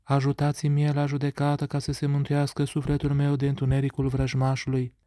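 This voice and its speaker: Man, reader of liturgical prayers: near-monotone recitation, mellow and dry, close to the mic. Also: medium pitch, no room sound.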